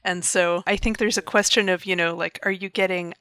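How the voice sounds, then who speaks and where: A woman's voice: on the low side, steady tone, brisk, fairly bright tone, smooth, no room sound, studio quality. A woman hosting a casual video call chat, chatty and laughing often as she talks. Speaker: host of a creator hangout.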